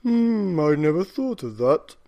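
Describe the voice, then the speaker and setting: Very old storybook husband, acted by a male reader: soft-hearted, eager, raised lively pitch. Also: measured pace, no room sound. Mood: reassuring. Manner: exclamatory, eager. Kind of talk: children's storybook reading.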